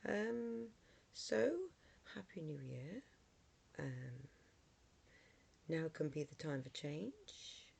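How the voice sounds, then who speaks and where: A middle-aged vlogger with a hoarse voice from a cold, talking casually to camera with many ums and restarts. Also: medium pitch, animated, extremely slow, long pauses, darkish tone.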